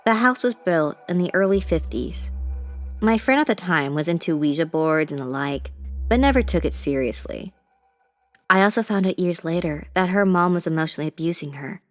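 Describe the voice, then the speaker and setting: A woman's voice: on the low side, animated, moderate pace. A woman reading a first-person scary story as a scripted narration. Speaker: narrator reading listener-submitted ghost stories. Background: music.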